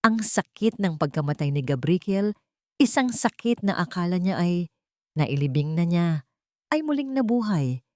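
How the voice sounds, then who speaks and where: A woman's voice: fairly low pitch, big pitch swings, a little slow, darkish tone, dry recording, clean studio sound. A woman reading a scripted romance story as narrator in a dramatic storytelling style.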